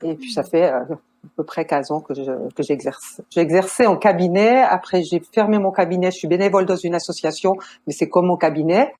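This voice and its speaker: A woman in her sixties with a Swiss French accent, a nurse and counselor calmly answering interview questions about her life. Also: fairly low pitch, a little fast. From Switzerland. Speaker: guest, nurse and family counselor.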